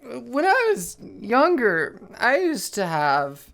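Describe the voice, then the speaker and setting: A woman's voice: a little high, wildly swooping pitch, slow pace, vocal fry, a bit bright, full character voice. A woman voicing a dazed, rambling stoner character with a drawling hippie delivery in an audio drama. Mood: dazed, musing. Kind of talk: audio drama voice acting outtakes.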